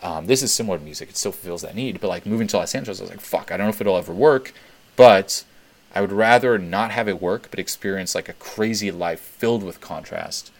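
A man's voice: sits low, fast talker. A young man from Switzerland hosting a webinar Q&A, talking candidly and swearing freely as he repeats points for emphasis. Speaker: webinar host. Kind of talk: casual Q&A talk.

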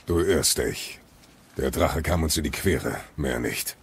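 Audio drama role: a stern warrior father, extremely low and husky, curt, impatient. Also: takes it slowly, heavily acted. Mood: dismissive. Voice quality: gravelly, raspy. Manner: curt, dismissive.

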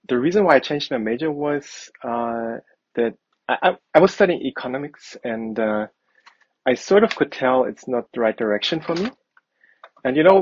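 A man's voice: mid-range voice, breathy edge, no room sound, highs cut off. A man in his thirties, from Germany, a guest architect answering interview questions thoughtfully and hesitantly. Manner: thoughtful, hesitant with fillers and restarts.

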